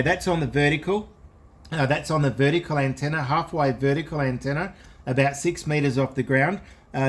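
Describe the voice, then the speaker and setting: A man speaking casually as an amateur radio operator, repeating key phrases for clarity. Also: fairly high pitch. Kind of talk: unscripted two-way ham radio chat.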